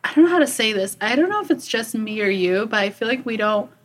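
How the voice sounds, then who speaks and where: A woman's voice: on the high side, animated, quick pace, slight background noise. Young woman, podcast host, casual chat, upbeat, laughs a lot. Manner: upbeat, laughs often, leads the conversation.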